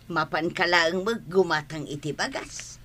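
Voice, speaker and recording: A woman's voice: on the low side, measured pace, bright, forceful tone. A woman playing a bossy, nagging village wife in an Ilocano comedy radio drama, with an Ilocano accent. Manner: nagging, commanding.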